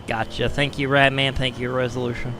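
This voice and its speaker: A man with a hoarse voice that is giving out, casually commenting on a game on a live stream with wry, grumbling asides. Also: medium pitch, level tone, fairly quick, background noise. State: hoarse, losing his voice. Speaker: gaming streamer.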